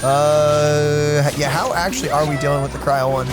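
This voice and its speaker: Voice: medium pitch, steady tone, normal speed. A let's play host giving casual, playful gaming commentary. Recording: clear game music behind. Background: video game music.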